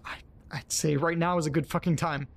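A man acting in an audio drama as a frightened, hungover man alone in the dark, halting and repeating himself as he swears. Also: on the high side, steady tone, gravelly. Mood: anxious, frustrated.

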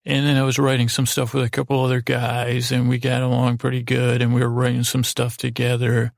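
A middle-aged American man with a very creaky, gravelly voice hosts a podcast in a flat, even tone. He rambles through personal stories, halting and restarting, often putting himself down. The recording is close and dry, with no room sound. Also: mid-range voice, no echo. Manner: halting, meandering, self-deprecating. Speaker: podcast host.

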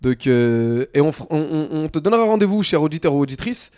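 Teasing young French co-host. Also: male voice, on the high side. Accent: French from France. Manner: teasing, playful, quick deadpan quips. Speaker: podcast co-host. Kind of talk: casual banter on a podcast.

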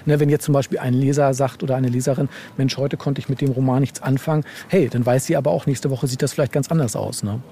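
A man speaking as a novelist being interviewed, telling anecdotes casually in a halting way with repeated words and frequent question tags. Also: mid-range voice, steady tone, warm tone, a little hiss or hum.

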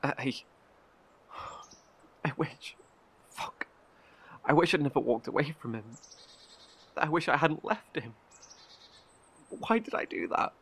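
A man's voice: fairly high pitch, animated, slow, creaky, long pauses, a bit bright, slightly rough, exaggerated voice. An actor playing a wary, guilt-ridden male survivor in an audio drama, terse, guarded and defensive. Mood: anguished.

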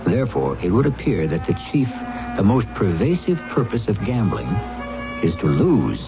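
Deep, dramatically inflected male radio host. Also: huge pitch swings. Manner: portentous, measured phrasing. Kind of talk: scripted dramatic narration. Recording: dull old radio broadcast. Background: loud music.

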